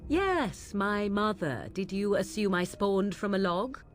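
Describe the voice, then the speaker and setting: A woman's voice: medium pitch, animated, faint music behind, close to an everyday voice. A woman voicing an aloof, mocking young witch who speaks in a haughty, archaic way, in a video game. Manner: haughty, archaic speech, slow and measured.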